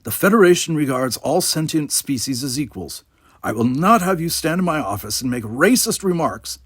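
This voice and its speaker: Station commander for sci-fi storybook, male reader acting: husky, emphatic, coldly controlled, commanding. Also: on the high side, sing-song, dry recording, studio quality, pitched above the performer's own voice, exaggerated voice. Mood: stern anger. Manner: measured, forceful, emphatic.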